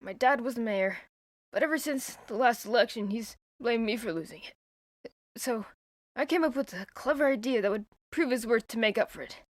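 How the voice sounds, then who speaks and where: A woman's voice: fairly high pitch, expressive, moderate pace, no room sound, studio quality, lightly acted. A woman voicing a sulky young male villain who explains himself in a whiny, defensive way.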